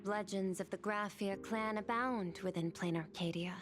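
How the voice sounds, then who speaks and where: A woman's voice: mid-range voice, moderate pace, lightly acted. A woman voicing a formal, analytical aide in a video game, precise and deferential as she reports. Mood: careful, deferential. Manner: precise, deferential, matter-of-fact. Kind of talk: scripted video game dialogue. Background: prominent music.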